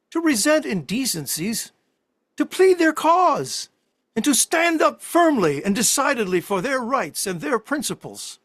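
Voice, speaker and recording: Man playing righteous 19th-century rabbi, high male voice, animated swoops, emphatic oratory, historical play reading. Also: no echo, exaggerated voice. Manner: emphatic, declamatory.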